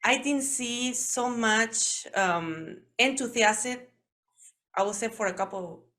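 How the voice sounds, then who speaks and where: Bright-voiced Mexican woman host. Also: on the high side. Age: 40s. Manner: halting non-native English, searching for words. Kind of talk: interview.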